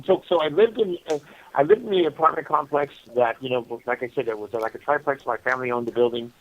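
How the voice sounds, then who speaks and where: A middle-aged American man telling his story casually over a telephone, halting and conversational, often saying "you know.". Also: mid-range voice. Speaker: prisoner interview guest calling from prison. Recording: muffled phone call from prison.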